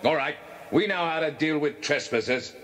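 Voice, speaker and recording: An actor playing a menacing, commanding criminal boss in a children's audio drama, brisk and threatening. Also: male voice, a little high, a bit bright, close to an everyday voice. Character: menacing, commanding crook boss, adult male. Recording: noisy, clicking old cassette transfer.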